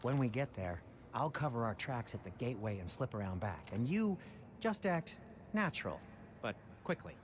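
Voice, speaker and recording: A man's voice: mid-range voice, big pitch swings, moderate pace, background noise, lightly acted. An actor playing an anxious but kindly, fussy male dwarf in a video game cutscene. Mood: wry, encouraging.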